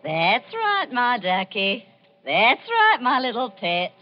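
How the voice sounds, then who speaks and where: Cunning, drawling old crone. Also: female voice, fairly high pitch, wildly swooping pitch, full character voice. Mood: sweetly coaxing. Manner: slow, drawling, alternately commanding and coaxing. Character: cunning old crone, bossy leader of body snatchers. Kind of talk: 1940s radio acting. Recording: muffled vintage radio with music behind.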